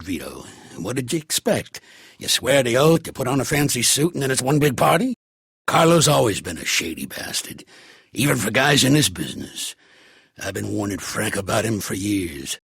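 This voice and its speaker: Game casting: shrewd old American mob boss, very raspy, lively swings in pitch, world-weary, blunt, fatherly under the gruffness. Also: no room sound, studio quality, full character voice. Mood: exasperated. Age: older. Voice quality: gravelly, raspy. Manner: tough street slang, blunt. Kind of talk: video game voice acting.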